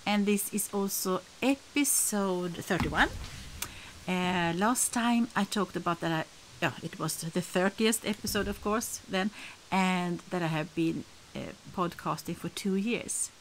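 A woman's voice: fairly low pitch, unhurried, a little hiss or hum, poor audio. A middle-aged woman from Sweden with a Swedish accent chats casually to camera as a podcast host, often searching for words and rephrasing.

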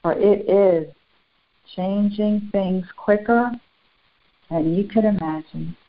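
A woman leading a guided spiritual meditation in solemn, formal, deliberate phrases with long pauses. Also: mid-range voice, steady tone. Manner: solemn, formal, ceremonial wording. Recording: muffled, phone-like narrow band.

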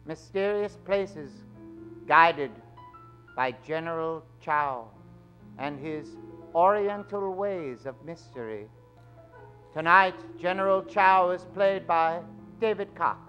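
Suave male mystery narrator played by a stage actor, 1940s radio drama, slow, dramatic, drawn-out suspense. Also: on the high side, fairly bright tone, faint music behind, poor audio. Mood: mysterious, grand. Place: theater stage. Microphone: vintage-style stand microphone.

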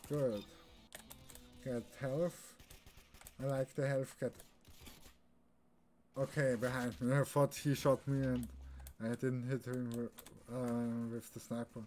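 A man's voice: mid-range voice, level tone, takes it slowly, pauses often, dark tone. A young man from Austria, a native German speaker with an Austrian accent, giving casual live commentary as he streams a game. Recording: clear keyboard and background noise.

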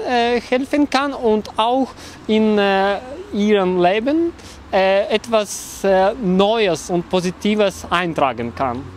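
Slow, hesitant man. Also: high voice, a little hiss or hum. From Belarus. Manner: halting, frequent hesitations. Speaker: cultural manager fellow. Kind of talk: interview answers.

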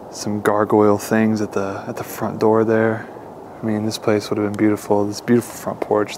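A young man hosting a casual walking tour vlog, chatting to viewers as he explores an abandoned mansion, recorded on a handheld camera. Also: on the low side. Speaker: urban explorer host. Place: outdoors and inside an abandoned mansion. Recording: noisy outdoor camera audio.

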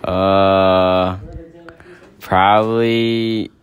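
Teenage male interviewee, low voice, extremely slow and halting. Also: level tone, lo-fi sound. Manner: hesitant, halting. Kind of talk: casual chat. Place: school classroom.

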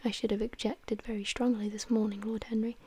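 A woman voicing an eager, impressionable young gentleman in an audiobook, in a breathy whisper. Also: fairly high pitch, flat delivery, fairly quick. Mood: polite protest.

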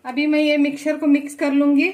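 Chatty high-voiced woman cooking at home. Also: monotone, brisk, a little mellow. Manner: chatty, repeats "look" and blessings often. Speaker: cooking channel host. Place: home kitchen, indoors.

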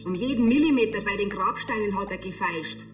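A prim, factual woman played by an actress in a television crime comedy. Her pitch sits in the middle. The room echoes around her and faint music runs underneath. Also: lively intonation, a little fast, natural voice. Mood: stern, indignant.

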